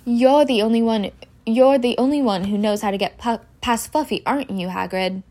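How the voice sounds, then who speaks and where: A woman's voice: a little high, moderate pace, darkish tone, natural voice. A woman voicing an earnest, inquisitive young schoolboy in a storybook, with a hesitant, probing manner. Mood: anxious.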